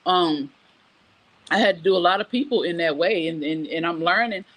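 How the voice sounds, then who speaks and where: A woman's voice: fairly low pitch, moderate pace, a bit bright, poor audio. A middle-aged woman hosting a casual live morning show, giving frank, emphatic advice and telling stories conversationally. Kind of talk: casual chat, reading viewer comments and telling personal stories.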